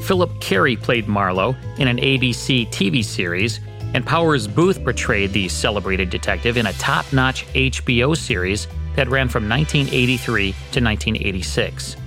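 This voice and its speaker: Male host narrating over soft music. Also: medium pitch, animated, normal speed. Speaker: program host. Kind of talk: scripted radio history narration. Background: faint music.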